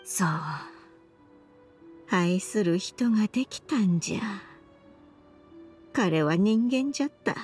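A woman's voice: medium pitch, lively intonation, slow pace, pauses often, darkish tone, faint music behind. An actress playing a remorseful old grandmother in a Japanese game, confessing her past in an archaic elderly way of speaking. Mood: wistful, tender. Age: older. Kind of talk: Japanese game voice acting, dramatic monologue.

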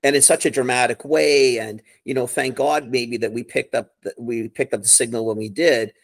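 A man's voice: mid-range voice, fairly even intonation, normal speed, gravelly, dry recording. A middle-aged man chatting casually and enthusiastically on a video call, with a rambling way of talking. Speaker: co-host. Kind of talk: casual chat. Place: living room, seated on a sofa.

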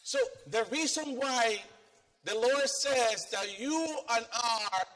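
A middle-aged man from the Philippines with a Filipino accent, preaching an animated sermon to a congregation and questioning them as he walks the stage. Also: very high voice, takes it slowly. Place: church sanctuary, indoors, with congregation. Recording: long church reverb.

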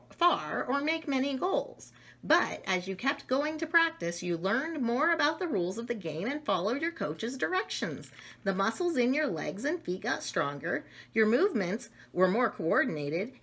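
Middle-aged female storybook reader for young children. Higher-pitched, bright delivery, expressive, recorded at home. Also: breathy edge. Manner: expressive, acting out emotions and small asides. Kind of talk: children's picture book read-aloud. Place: room at home with wooden cabinets.

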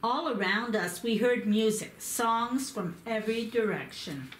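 A woman voicing a wise, alert young knight who calmly recounts his journey. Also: on the high side, unhurried, background noise. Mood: recounting. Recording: echoey room.

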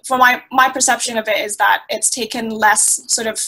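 A young woman from Thailand speaks over a laptop webcam from a small indoor room. Her voice is bright and a little high. She answers in long, thoughtful runs full of fillers and restarts. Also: fairly even intonation, normal speed, rough recording. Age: 20s. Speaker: guest. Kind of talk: conversational interview answers. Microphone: laptop webcam on a video call.

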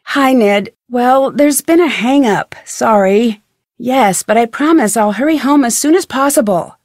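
A young female sleuth from an adventure video game. Her voice sits fairly high and is recorded close and dry. She speaks politely and clearly, with an inquisitive turn to her scripted lines. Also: a little slow, darkish tone, dry recording. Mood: apologetic, earnest. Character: curious, polite young female amateur detective. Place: studio.

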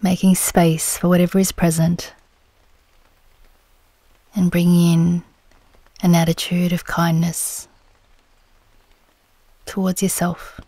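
Female meditation guide: dark, relaxed timbre, soothing unhurried delivery, soft breathy phrase endings, very dry recording. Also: fairly low pitch, dry recording, rough recording. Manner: gentle, soothing, unhurried with long pauses.

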